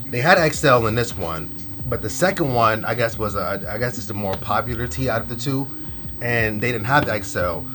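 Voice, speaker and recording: A young man with a cold and a stuffy, nasal voice, talking casually and loosely through a clothing haul with lots of slang and fillers. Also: fairly low pitch. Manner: laid-back, slangy, rambling with fillers. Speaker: fashion vlogger.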